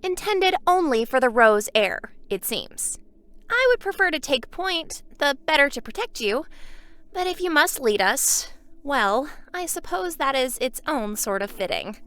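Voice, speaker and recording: A woman's voice: high voice, very animated, a little slow, fairly bright tone, dry recording, full character voice. A woman voicing a gallant, dramatic royal protector with formal, courtly phrasing in a fan dub. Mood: courtly, devoted.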